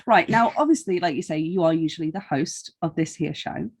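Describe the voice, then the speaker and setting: A woman's voice: low-pitched, fairly quick, darkish tone, slightly rough. A woman interviewing casually on a podcast, with a playful, jokey manner.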